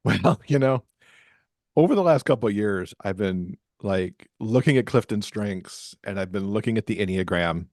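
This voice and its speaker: Lowish, dry-sounding man chatting casually. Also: animated, moderate pace, a little mellow, no echo. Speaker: author and podcast guest. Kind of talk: casual chat.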